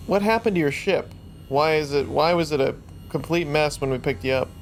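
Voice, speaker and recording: A man playing a tabletop roleplaying game, improvising casual dialogue in a blunt, questioning, informal way. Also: a little fast. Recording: faint rumble and background noise.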